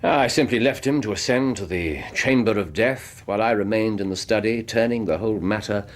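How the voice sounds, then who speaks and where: An actor in a radio drama playing a brooding, cerebral English detective, precise and given to thinking aloud in broken bursts. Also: male voice, on the low side, a little fast, natural voice. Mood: sombre, reflective. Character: brooding, cerebral English male detective recounting an old case.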